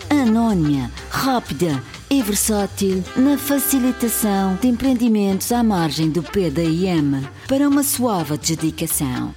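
A slick advert announcer, played by a woman, pitching in a polished, salesy way at a medium pitch. Music plays clearly behind her spoof corporate radio spot. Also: darkish tone, natural voice. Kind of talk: parody radio advert read.

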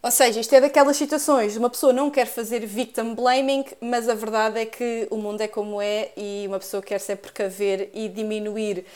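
A woman's voice: a little high, steady tone, fairly quick, hardly any pauses, a little mellow. A woman with a European Portuguese accent, co-hosting a podcast and summarizing stories in an explanatory way. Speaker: podcast co-host. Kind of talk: scripted podcast narration.

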